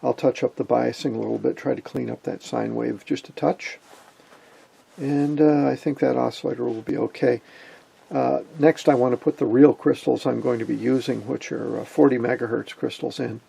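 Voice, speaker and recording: A man narrates an informal hands-on demonstration at an unhurried pace of thought. His voice is heavily creaky and husky, with a relaxed, dark tone. He comes across as a folksy hobbyist explaining his work step by step. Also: medium pitch. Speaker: electronics hobbyist presenter. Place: indoor electronics workbench.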